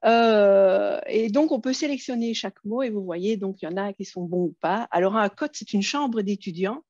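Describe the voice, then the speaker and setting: A woman's voice: mid-range voice, moderate pace, a little mellow, dry recording, lo-fi sound. A woman with a Belgian French accent presenting an online workshop, friendly, with many hesitations and fillers.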